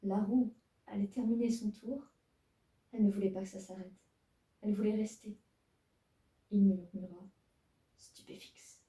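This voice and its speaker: A woman's voice: mid-range voice, steady tone, normal speed, pauses often, mellow. A woman reading her own old fanfiction aloud, stumbling over her text and making self-mocking asides, recorded in a roomy room from a distance. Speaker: fanfiction reader.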